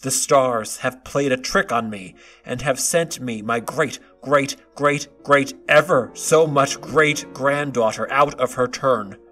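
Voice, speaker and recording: An actor playing an anxious, doting old king in an audio drama, speaking in a deliberate, fretful manner. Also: mid-range voice, sharp tone, faint music behind. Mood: troubled.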